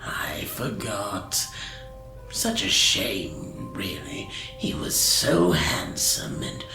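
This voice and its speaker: Very deep, slow, sinister demon. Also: female voice, lively intonation, roomy sound, over music, full character voice, rough recording. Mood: taunting menace. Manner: slow, mocking, theatrical menace. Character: sinister fallen demon possessing a young woman, taunting, vengeful. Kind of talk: audio drama acting.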